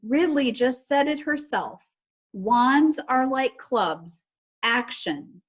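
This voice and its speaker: A woman's voice: sits high, lively intonation, slow pace, pitched above the performer's own voice, heavily acted. A woman voicing a thoughtful young storybook boy who puzzles out clues emphatically and insistently. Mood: excited realization.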